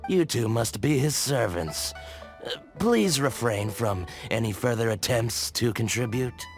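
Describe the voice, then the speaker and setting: An actor playing a snobbish shopkeeper in an anime game dub, pompous and theatrical, with a haughty drawl. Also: male voice, medium pitch, expressive, a little slow, soft background music. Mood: contemptuous. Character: snobbish, haughty shopkeeper who fawns on connoisseurs.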